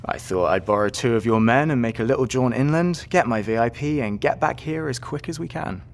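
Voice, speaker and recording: An actor playing a breezy, courteous and wry Royal Marines lieutenant in an audio drama. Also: male voice, mid-range voice, fairly quick, a little hiss or hum, natural voice. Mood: breezy, confident.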